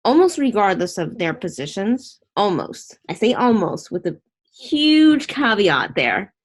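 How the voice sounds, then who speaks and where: A woman's voice: medium pitch, very animated, unhurried, husky. A woman hosting a casual online interview, chatty and opinionated, rambling with restarts.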